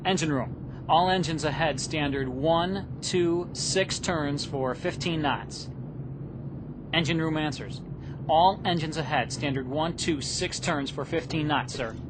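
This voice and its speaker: A man plays an obedient Navy helmsman in a radio play. The voice is slightly high and businesslike, repeating orders formally over a clearly audible engine rumble. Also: a little slow, natural voice. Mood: dutiful. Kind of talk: radio theatre acting.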